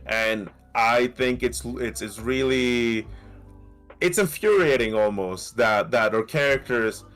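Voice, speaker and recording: A man with a light Mexican accent who co-hosts a podcast. He argues in a casual, animated way, often restarting and asking "right?". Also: mid-range voice, takes it slowly, soft background music. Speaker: podcast co-host. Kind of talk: casual debate.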